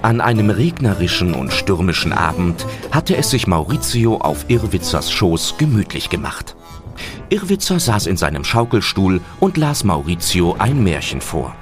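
A man's voice: deep voice, big pitch swings, moderate pace. A man reading scripted storybook narration as the narrator of an audio drama. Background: music.

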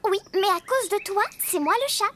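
A performer voicing a cheeky, competitive little girl donkey in a preschool cartoon, teasing and excitable. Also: very high-pitched, brisk, full character voice. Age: young child character. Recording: loud music behind the voice.

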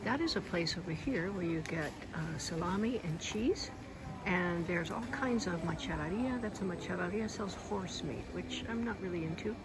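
A woman's voice: lowish voice, moderate pace. A woman narrating a walking tour of her town, chatty and enthusiastic as she points out shops and sights along the way. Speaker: vlogger giving a walking tour. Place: outdoors on town streets and a piazza. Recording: loud street noise and traffic.

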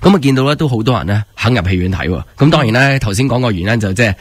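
A man's voice: medium pitch, animated, racing, slightly rough, dry recording. A man with a Hong Kong Cantonese accent chatting casually as a radio host, in a conversational, loosely phrased way. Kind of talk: casual radio chat, rambling explanation. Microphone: close studio microphone.

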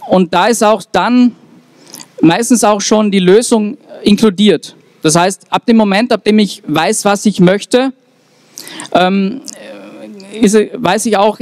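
A young man giving an earnest, halting speech into a handheld mic on an outdoor town square. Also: high voice, a little mellow. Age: young adult. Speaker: speaker at a rally. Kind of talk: public speech.

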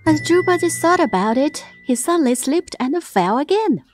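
Lively high-voiced woman telling children's stories. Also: normal speed. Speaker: storyteller. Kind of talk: animated scripted children's story narration. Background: children's music.

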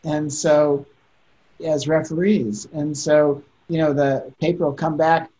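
A man's voice: fairly high pitch, little pitch movement, slow pace, dark tone. Middle-aged man on a video call. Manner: thoughtful, candid, with occasional laughs. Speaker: journal editor. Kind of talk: panel discussion. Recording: dull lo-fi video call audio.

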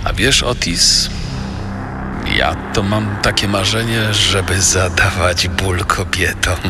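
An actor playing a sadistic serial killer in a true-crime audio drama, speaking in a drawn-out, menacing way. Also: male voice, sits low, steady tone, over music. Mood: sadistic longing. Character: slow, sadistic male serial killer, relishing cruelty.

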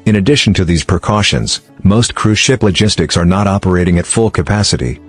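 A man narrates a scripted explainer in a low-pitched voice. His delivery is measured and formal, with music playing softly behind him. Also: animated. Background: background music.

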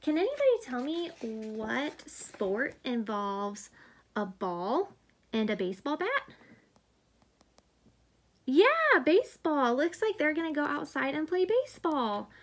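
A young woman reading a picture book aloud to kids at story time, teacherly and unhurried, asking questions along the way. Her voice is somewhat high and she speaks slowly. The sound is from a camera a short way off in a small living room. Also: sing-song. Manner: warm, slow, teacherly, asks kids questions. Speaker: pediatric therapist reading to children.

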